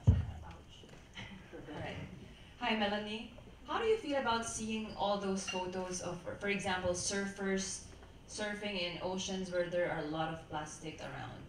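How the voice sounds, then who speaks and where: A woman's voice: medium pitch, a little slow, slight background noise. A woman acting as a pageant judge, asking a question in a friendly way while searching for words. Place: hotel event hall. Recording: echoey hall, distant mic.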